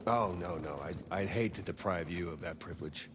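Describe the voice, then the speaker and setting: A man's voice: sits low, normal speed, noisy background, natural voice. A man acting as a cocky, eager treasure hunter in a video game, breezy and sardonic. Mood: intrigued. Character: cocky, eager adult male treasure hunter, older brother. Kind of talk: naturalistic cinematic game dialogue. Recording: cinematic music behind dialogue.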